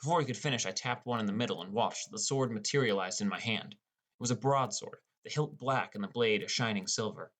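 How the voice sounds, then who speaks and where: A man's voice: fairly low pitch, a little fast, a bit bright. A man playing a wry, inexperienced teenage boy who narrates his own game adventure in an audiobook. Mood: narrating. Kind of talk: first-person audiobook narration with character dialogue.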